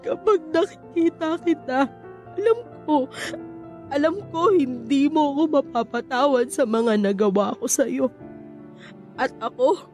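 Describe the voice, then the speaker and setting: A woman acting an anguished, guilt-ridden mother in a Filipino radio drama, with a Filipino accent and halting, repetitive delivery. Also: sits high, a little mellow, soft background music. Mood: anguished, tearful. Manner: halting, repeating words, tearful.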